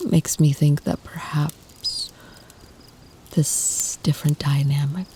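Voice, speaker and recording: A woman voicing an ancient, tender and protective female vampire in an intimate ASMR roleplay, speaking softly, half in whispers, with formal, deliberate phrasing. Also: deep voice, slight background noise. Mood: tender, hopeful.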